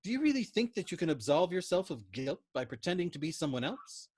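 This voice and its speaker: A man's voice: a little high, sing-song, fairly quick, darkish tone, husky, dry recording, clean studio sound, voice pushed up. A man voicing a scornful, belligerent army psychiatrist major in an audiobook, pompous and accusing as he browbeats. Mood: vitriolic. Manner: emphatic, contradicting, browbeating.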